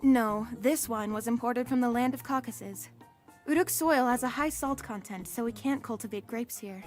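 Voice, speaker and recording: A woman's voice: a little high, normal speed, faint music behind, lightly acted. A woman playing a courteous palace attendant in a comic dub, giving patient, orderly instruction. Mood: courteous, informative.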